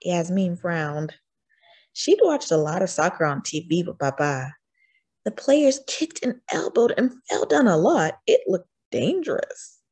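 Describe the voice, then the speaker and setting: A woman reading a children's storybook aloud in an expressive, acted-out way. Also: on the low side, slightly rough. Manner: expressive, acts out dialogue. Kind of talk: animated scripted storybook narration.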